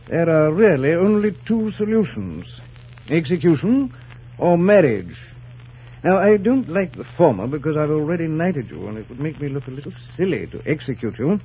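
An actor playing a shrewd, amused English king in a 1940s radio drama, speaking with deliberate, teasing pomp and dry pauses. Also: a little high, warm tone, slightly rough, exaggerated voice. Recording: muffled old 1940s radio broadcast.

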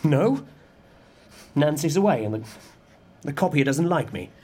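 An actor playing a nervous, earnest junior investigator in an audio drama, hesitant and stammering in a husky voice. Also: male voice, on the high side, animated, unhurried, warm tone, slight background noise. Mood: anxious.

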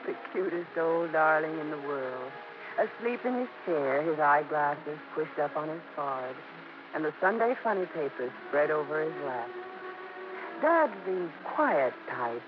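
An actor playing a grown child fondly and incredulously recalling parents, in theatrical radio narration. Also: male voice, fairly high pitch, sing-song, unhurried, noisy background. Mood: fond, amused. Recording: muffled old radio broadcast.

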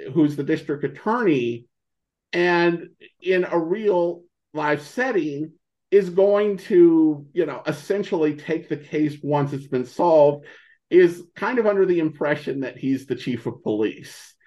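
A man in a casual interview as a podcast guest, talking haltingly and searching for his words. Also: fairly high pitch, expressive, darkish tone. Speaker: podcast guest, old-time radio podcaster.

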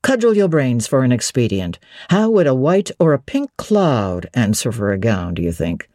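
A woman voicing a brooding, teasing middle-aged gentleman, commanding and whimsical, in a dramatic audiobook reading of a classic novel. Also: rumbling low, very animated, moderate pace, dry recording, pitched below the performer's own voice. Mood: playfully fanciful. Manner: whimsical, authoritative, theatrical.

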